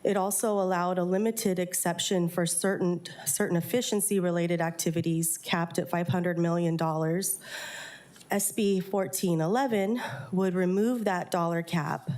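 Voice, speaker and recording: Stuffy, lower-pitched woman giving a statement. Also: fairly even intonation, normal speed, a little mellow. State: stuffy from a cold. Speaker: public commenter at a council meeting. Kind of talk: prepared public statement.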